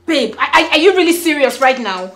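A woman's voice: high voice, animated, a little fast, fairly bright tone. A woman with a Nigerian accent acting a pushy, coaxing wife in a screen drama, insistent in the way she talks. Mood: pleading. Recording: echoey room with faint noise.

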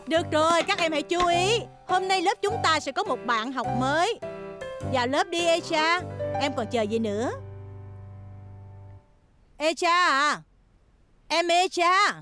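Strict high-voiced schoolteacher. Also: female voice, unhurried, long pauses, music in the background. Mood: stern, impatient. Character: strict, brisk female primary school teacher. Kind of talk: animated children's cartoon dubbing.

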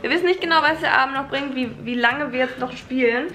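A woman's voice: sits high, fast, bright, forceful tone. Upbeat young woman vlogging at home. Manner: upbeat, easygoing, talks to camera. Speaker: vlogger co-host. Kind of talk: casual chat. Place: apartment, indoors.

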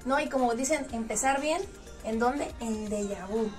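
High-voiced northern Mexican woman hosting. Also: normal speed. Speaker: interviewer and host. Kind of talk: casual chat interview. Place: small indoor lounge room in a club. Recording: distant mic, music in background.

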